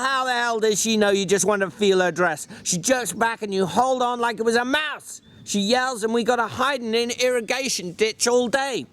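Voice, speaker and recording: Irritable, exasperated male drifter played by a man reader for a dramatic audiobook, high-pitched, ranting delivery. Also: a bit bright. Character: small, irritable, exasperated ranch drifter man, secretly caring. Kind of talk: dramatic reading of a novel.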